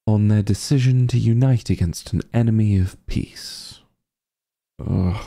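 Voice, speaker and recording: A man playing a vain, disdainful evil sorcerer king in an ASMR roleplay, with a gravelly, breathy voice and a pompous, sardonic drawl. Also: lowish voice, mellow, full character voice.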